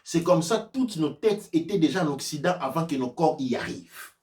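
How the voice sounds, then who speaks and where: A man's voice: fairly high pitch, animated, a little fast, darkish tone, echoey room, far from the mic. A man giving an impassioned sermon-like lecture, emphatic and repetitive, driven by rhetorical questions. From sub-Saharan Africa. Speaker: spiritual teacher and preacher.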